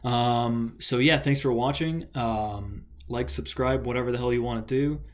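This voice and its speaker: A man's voice: medium pitch, fairly even intonation, normal speed. A young American man talking casually about a soccer match in a solo vlog, rambling with lots of fillers and restarts. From United States. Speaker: soccer vlogger.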